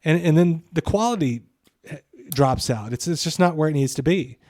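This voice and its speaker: A man's voice: on the high side, lively intonation, normal speed, darkish tone. A man co-hosting a podcast with casual, opinionated commentary, emphatic, repeating phrases for stress and swearing freely. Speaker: podcast co-host.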